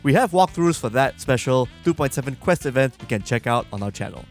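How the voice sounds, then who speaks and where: A man's voice: mid-range voice, animated, fairly quick, soft background music. Man narrating a game walkthrough. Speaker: channel host. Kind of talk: scripted narration.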